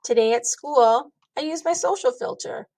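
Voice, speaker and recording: A woman reading a children's book aloud with expressive narration, drawing out key words for emphasis. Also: high-pitched, normal speed, gravelly, no echo.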